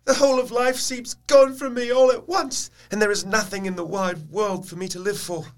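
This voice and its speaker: An actor playing a bereaved young English nobleman who speaks formally and emotionally, in a halting, courteous way. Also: high-pitched, fairly even intonation. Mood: anguished. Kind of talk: period audio drama acting.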